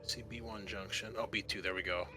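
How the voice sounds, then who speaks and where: Bright-voiced man game-mastering over music. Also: on the low side, fairly even intonation, fairly quick. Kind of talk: improvised narration of a tabletop roleplaying game.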